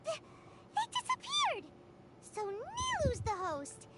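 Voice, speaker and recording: A woman voicing a tiny, chatty, excitable fairy-like companion girl in a video game. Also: very high-pitched, exaggerated voice. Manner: excitable, exclaiming, quick reactions. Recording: game audio with loud music behind.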